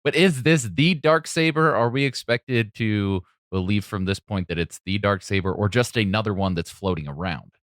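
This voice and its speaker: A man's voice: fairly low pitch, moderate pace, hardly any pauses, a bit bright, no echo, clean studio sound. A man hosting a casual podcast discussion, speaking conversationally. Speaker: podcast host.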